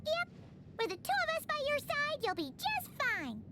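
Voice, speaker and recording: A woman's voice: extremely high pitch, huge pitch swings, heavy background noise, heavily acted. A woman voicing a tiny, chirpy female companion creature who eagerly chimes in with exclamations. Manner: bouncy, exclamatory, quick to chime in. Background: game soundtrack music.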